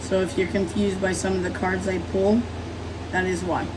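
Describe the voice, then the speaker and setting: Woman tarot host, hoarse from illness, loose and rambling, distant mic, audible background hum. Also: on the low side, flat delivery, moderate pace. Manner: rambling, apologetic, many fillers. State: sick, rough smoker-like voice. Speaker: tarot reader. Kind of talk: casual tarot reading monologue.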